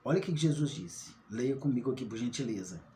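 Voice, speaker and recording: Man teaching, lively and mellow. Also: medium pitch, fairly quick, a little hiss or hum. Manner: asks rhetorical questions, checks in with "tá?". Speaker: online Bible teacher. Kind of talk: informal Bible study talk.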